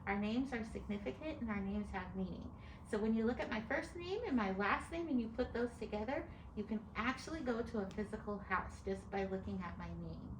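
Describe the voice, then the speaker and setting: A woman's voice: mid-range voice, fairly quick. A middle-aged American woman, an author explaining things step by step in an articulate way as she answers interview questions. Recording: echoey room with clear background noise.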